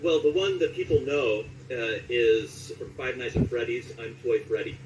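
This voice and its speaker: Interview guest, male, late forties, mid-pitched conversational storyteller, recorded with noticeable background noise. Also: moderate pace. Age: late 40s. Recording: roomy echo, distant mic, clear background noise.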